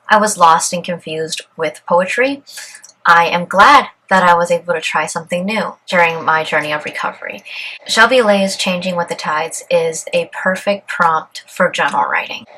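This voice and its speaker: A woman in her twenties from Canada, whose first language is Cantonese, calmly and earnestly talking through a personal life update. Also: fairly low pitch, rough recording. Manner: calm, earnest and measured. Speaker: vlogger. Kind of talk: reflective personal vlog, partly read aloud.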